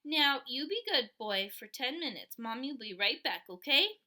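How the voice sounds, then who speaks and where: A fussy, doting mother, as a woman reader puts her on, anxious and hurried. Her voice is bright and lifts often as she frets. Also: high voice, big pitch swings, full character voice. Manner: anxious and fussing.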